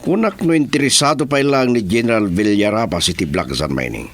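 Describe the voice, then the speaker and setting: A man playing a deep scheming boss. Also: lively intonation, brisk, no echo. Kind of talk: Ilocano radio drama acting.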